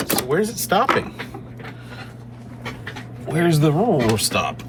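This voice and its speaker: A man thinking aloud in casual, halting, playful commentary while he works hands-on with a machine indoors. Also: a little high, very animated. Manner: halting, muttering to himself, playful. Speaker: vlogger repairing a tape deck. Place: indoors at a repair bench. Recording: clear machine hum and whir.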